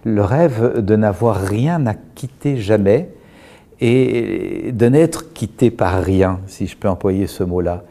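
Man, middle-aged, low voice, warm mellow tone, calm reflective guest talk. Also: creaky. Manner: calm, measured, with frequent pauses and restarts. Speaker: guest speaker on emotions.

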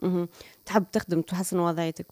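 Tunisian woman, radio presenter, very rapid delivery, live phone-in chat, dry close sound. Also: fairly low pitch, little pitch movement, darkish tone, no echo. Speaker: radio call-in show host. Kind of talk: live radio hosting, chatting with callers.

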